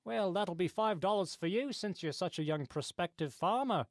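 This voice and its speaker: A man's voice: sits high, expressive, normal speed. A man playing a chatty, eccentric market farmer in a radio comedy sketch, rambling in a folksy, matter-of-fact way. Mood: folksy sales patter.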